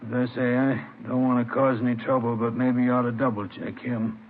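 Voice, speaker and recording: A wary neighbourhood tattler played by a man in an old radio crime play. His mid-pitched voice is even and insinuating, heard through tinny, narrow sound. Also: fairly quick, lightly acted. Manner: hesitant, insinuating. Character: wary, gossiping male neighbour informing on someone. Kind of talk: 1950s radio drama acting.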